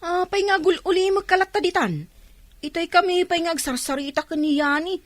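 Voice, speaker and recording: Woman acting very high, animated Ilocano friend. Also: a little fast, dry recording. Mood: concerned. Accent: Ilocano Filipino. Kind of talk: Ilocano radio drama acting.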